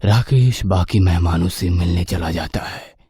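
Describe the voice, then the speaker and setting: Low husky guy narrating a scary story, soft dark tone, dry sound. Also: unhurried, no echo. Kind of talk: scripted horror narration.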